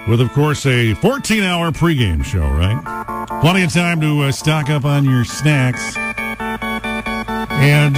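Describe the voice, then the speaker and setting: An American man hosting a rock radio show, talking in casual, conversational DJ patter with playful asides. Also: a little high. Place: radio studio. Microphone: close studio broadcast mic.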